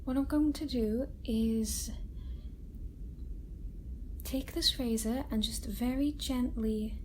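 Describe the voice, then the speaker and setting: A woman acting as a kind female doctor in an ASMR roleplay speaks in a fairly high, gentle voice, taking her time. She is tender and reassuring with her patient, close to the mic, with a faint sci-fi lab ambience behind her. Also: a little hiss or hum, low-quality recording. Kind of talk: ASMR roleplay, soft-spoken personal attention. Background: sci-fi laboratory ambience.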